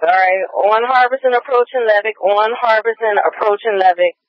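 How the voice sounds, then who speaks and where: High-voiced male police radio dispatcher. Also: fairly even intonation, normal speed. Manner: procedural, repetitive, clipped phrases. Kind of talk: live police pursuit dispatch, repeating locations. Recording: dull lo-fi police scanner radio.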